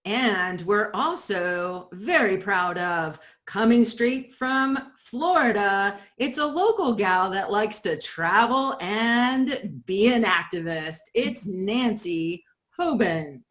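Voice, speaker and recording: A woman's voice: mid-range voice, lively intonation, takes it slowly, fairly bright tone. A woman acting as MC, introducing performers with an enthusiastic, showy delivery. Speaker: MC of a stage event. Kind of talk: introducing performers at a live event.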